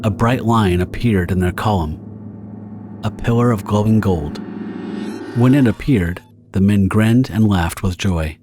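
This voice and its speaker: A man's voice: fairly low pitch, measured pace, noisy background. A man narrating a dramatic story from a script.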